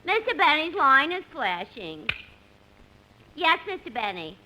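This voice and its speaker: Casting: gossipy New switchboard operator, piercingly bright, slangy wisecracks, 1950s radio comedy, slightly hissy old broadcast. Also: high-pitched, sing-song, unhurried, full character voice, low-quality recording. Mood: matter-of-fact, wry.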